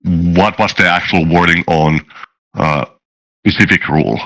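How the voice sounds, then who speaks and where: A man speaking hesitantly in an interview as a game master and designer, with searching pauses. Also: low voice, fairly bright tone, poor audio.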